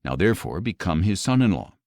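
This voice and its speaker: A man reading as a low-voiced jealous king. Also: big pitch swings, fast talker, darkish tone, no echo, studio quality. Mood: commanding. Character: jealous, scheming adult male king of ancient Israel.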